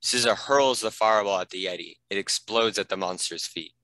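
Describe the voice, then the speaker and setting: Deep, bright-voiced male narrator. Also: fairly quick, dry recording, low-quality recording. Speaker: narrator reading stage directions. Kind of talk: scripted narration.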